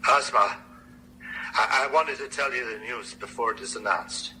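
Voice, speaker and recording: Dejected male scientist, very bright sharp voice, halting, formal, grave, radio drama, faint noise. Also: medium pitch, rough recording. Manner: halting, formal, careful phrasing. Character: dejected male medical researcher, grave and apologetic.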